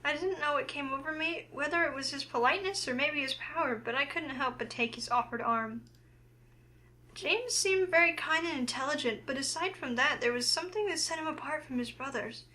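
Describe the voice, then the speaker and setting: A woman with a high, bright voice reads a story game aloud. She moves between narration and acted dialogue for the heroine. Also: fairly quick. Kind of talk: reading a visual novel aloud, narration and heroine's lines acted.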